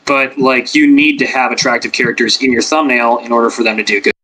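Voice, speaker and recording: Man, bright tense tone, casual rambling panel chat, lots of fillers. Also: mid-range voice, fairly even intonation, fast, rough recording. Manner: rambling, filler-heavy, many "like"s. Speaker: podcast panelist, ASMR creator.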